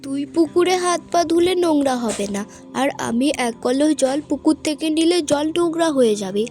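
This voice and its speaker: A woman's voice: sits high, animated, normal speed, a little mellow, soft background music. A woman voicing a stubborn, devoted village girl in a cartoon, insistent and earnest. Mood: defiant. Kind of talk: cartoon voice acting, dramatic dialogue.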